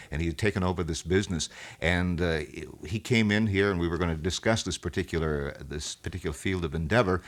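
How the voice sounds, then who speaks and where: Middle-aged male radio host, fairly deep voice, wordy and halting, unscripted explanations and stories for a 1970s local station. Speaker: local radio talk show host being interviewed. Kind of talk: unscripted radio conversation, explaining and telling anecdotes.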